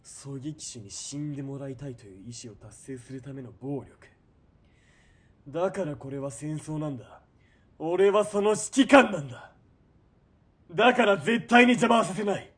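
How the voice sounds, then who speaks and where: A man playing a ruthless, greedy military police commander in a period radio drama, domineering and sneering, moving between cold murmurs and shouting. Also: a little high, normal speed, breathy edge. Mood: manic, menacing.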